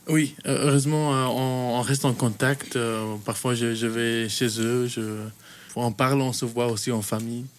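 A man's voice: mid-range voice, steady tone, normal speed, a little hiss or hum. Hesitant young man in his twenties. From Kenya. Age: 20s. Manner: hesitant, many fillers and restarts. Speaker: youth worker, interview guest. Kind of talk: casual interview answers.

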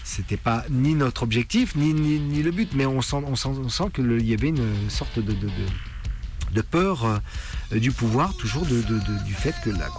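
A man's voice: mid-range voice, lively intonation, moderate pace. A man reflecting in a documentary interview, searching for words and repeating small words as he goes. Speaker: punk musician interviewed for a radio documentary. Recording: music playing under the voice. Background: music.

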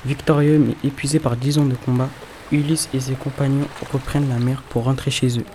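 A man's voice: medium pitch, level tone, brisk, noisy background. A man reading scripted storytelling narration for an audio drama.

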